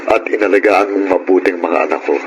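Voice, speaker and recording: A man's voice: low voice, steady tone, brisk, noisy background, close to an everyday voice. A man playing an affectionate, earnest father and suitor in a Filipino radio drama. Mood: earnest, loving. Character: loving father returning home to propose. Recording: loud music over the voice.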